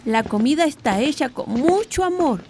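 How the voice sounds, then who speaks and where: A woman's voice: high voice, expressive, moderate pace. A woman playing a deferential, fond household maid in a radio drama. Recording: noisy, cutlery and dishes clinking.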